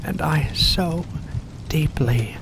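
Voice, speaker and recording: A performer voicing a seductive, predatory vampire in an intimate ASMR roleplay, speaking with slow, purring menace. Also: medium pitch, background noise. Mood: hypnotic, menacing. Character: seductive, predatory furry vampire luring prey.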